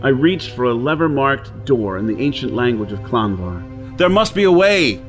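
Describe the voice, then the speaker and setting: A man's voice: medium pitch, big pitch swings, normal speed, natural voice. Man acting an intense pulp outlaw hero, music behind. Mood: desperate, urgent. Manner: intense, theatrical. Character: desperate outlaw hero on Mars recounting his ordeal. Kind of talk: dramatic first-person pulp narration. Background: dramatic music and soundscape.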